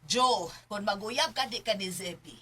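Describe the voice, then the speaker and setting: A man putting on the voice of a fussy middle-aged mother in a radio drama, shrill and bossy. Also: unhurried, sharp tone, slight background noise.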